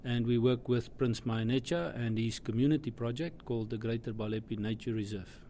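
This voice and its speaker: A man with a warm, dark voice. He explains his work in a measured, businesslike way, pausing now and then on fillers. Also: medium pitch, fairly even intonation, slight background noise. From South Africa. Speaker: hunting outfitter guest. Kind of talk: interview answers.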